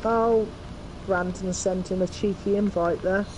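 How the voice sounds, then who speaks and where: A man's voice: high-pitched, fairly even intonation, measured pace, background noise. A man with an English accent chatting casually with friends during an online game. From England. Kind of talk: casual gaming chat.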